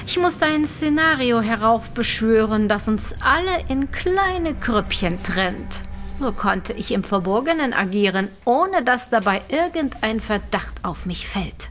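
An actress playing a cold-blooded scientist who is a hired killer, gloating softly and theatrically in an audio drama. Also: female voice, a little high, animated, faint music behind. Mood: smug gloating. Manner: slow, smug, theatrical gloating with soft menacing phrasing.

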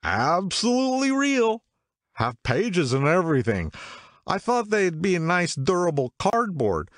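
A man voicing an excitable, tipsy middle-aged man who insists over and over, in a much higher voice than his own. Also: a little slow, no room sound, studio quality. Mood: excited insistent.

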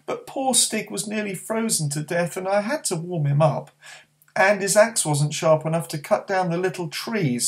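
A man's voice: a little high, voice pushed up, natural voice. A man doing an eager young boy.

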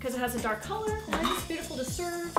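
A high-voiced woman hosts a cooking demonstration in her kitchen. She is chatty and playful, laughs a lot and makes little sound effects as she works. The kitchen is echoey and music plays behind her. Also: lively intonation, fast talker, recorded from a distance. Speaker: cooking show host. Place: home kitchen.

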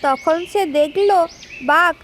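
A woman with an extremely high voice narrates a children's folk tale as its storyteller. Birdsong and ambient noise run clearly behind her scripted telling. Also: slow.

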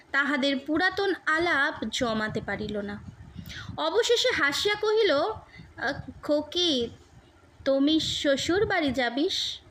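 A woman reads a literary story aloud as narrator. Her voice is quite high, and she takes her time with measured, expressive phrasing. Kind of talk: scripted literary audiobook narration.

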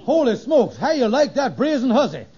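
An actor playing a scheming, excitable husband in a 1950s radio sitcom, blustering in a drawling folk dialect. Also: male voice, extremely high pitch, moderate pace, slightly rough, heavily acted. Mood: aggrieved. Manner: blustery, exclamatory. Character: scheming, excitable, aggrieved middle-aged husband, a lodge bigwig. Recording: dull old radio recording.

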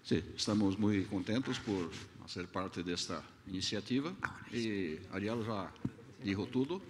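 Low soft-spoken man, clear background noise. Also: unhurried. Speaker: association representative. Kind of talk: brief stage remarks.

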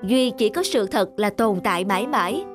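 A woman voicing a humble, wise young male guard in an animated folktale, speaking in a respectful, measured, earnest way. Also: mid-range voice, music in the background, lightly acted.

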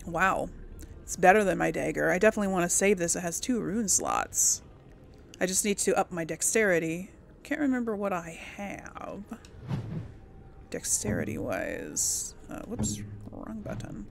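A woman gives casual, chatty game commentary, musing aloud as she goes. Her voice is lively in pitch with a gravelly creak. A faint layer of noise sits behind her. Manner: thinks aloud to herself. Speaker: gamer hosting a role-play playthrough.

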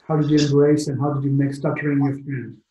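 A young adult man hosting an interview and asking quick, eager questions over a video call. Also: medium pitch, little pitch movement, warm tone, roomy sound, dull audio, a little hiss or hum. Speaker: interviewer, channel host. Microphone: video call webcam.

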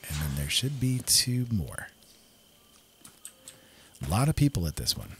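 A man's voice: deep voice, big pitch swings, unhurried, a bit bright, a little hiss or hum. A man giving casual commentary over a video game playthrough, easygoing and thinking aloud as he plays. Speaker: gaming video host. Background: game soundtrack music.

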